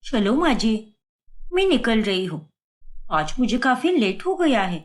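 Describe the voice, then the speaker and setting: A young working daughter-in-law acted by a woman narrator in a cartoon family story. She sounds harried and exasperated as she protests, with a highly animated, mobile pitch. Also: a little slow. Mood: exasperated, hurried.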